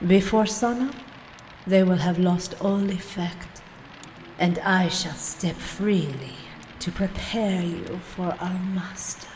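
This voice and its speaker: Woman as a slow, low-voiced vampire bride. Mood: menacing, coaxing. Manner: languid, mocking, formal. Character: seductive, taunting vampire bride serving her master. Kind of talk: radio play acting. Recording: long hall reverb, background noise.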